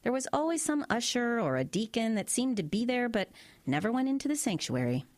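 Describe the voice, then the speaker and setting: A woman's voice: a little high, sing-song, a little fast, darkish tone, dry recording. An actress playing a wry, logical woman doctor in an audio drama, teasing and skeptical. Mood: skeptical, reassuring.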